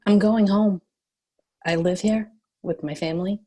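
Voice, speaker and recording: A woman's voice: mid-range voice, fairly even intonation, measured pace, mellow, lightly acted. A woman playing a stubborn, prickly bounty cop in a screenplay table read, terse and combative. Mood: alarmed, demanding.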